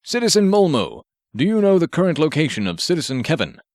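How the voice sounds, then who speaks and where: A man's voice: on the high side, wildly swooping pitch, quick pace, darkish tone, no echo, studio quality, pitched above the performer's own voice. A man voicing a pompous, earnest superhero who speaks in formal, declamatory sentences with stubborn optimism, in an audiobook. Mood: grandly earnest.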